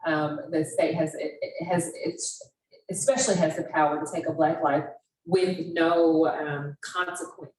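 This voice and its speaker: A woman with a low voice speaks on a panel in an echoey room. She is earnest and conversational, repeating words for emphasis. The mic is slightly distant, with faint noise. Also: steady tone, a little slow, darkish tone. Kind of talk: impassioned, conversational panel commentary.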